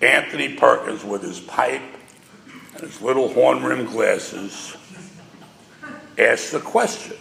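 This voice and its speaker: Gravelly older New man, slow. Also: medium pitch, pushed and bright, slight background noise. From New York. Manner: wry, self-mocking humor. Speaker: speaker addressing an audience. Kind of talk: personal storytelling talk. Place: large hall before a live audience. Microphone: stage microphone. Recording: reverberant hall sound.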